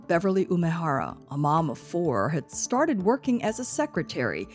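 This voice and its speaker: A middle-aged woman correspondent narrating a scripted news feature with measured delivery. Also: fairly low pitch. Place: living room set.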